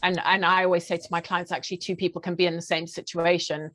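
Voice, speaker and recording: A woman's voice: on the low side, little pitch movement, fast, a bit bright. A woman from Zimbabwe with a southern African accent, speaking conversationally as an interview guest and health coach.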